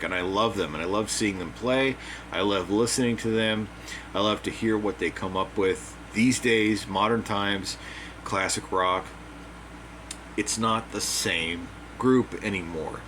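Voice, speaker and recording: A man with a hoarse, dry voice giving a casual, opinionated monologue as a music commentator, plain-spoken and repeating his points. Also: low voice, a bit bright. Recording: air conditioner hum behind.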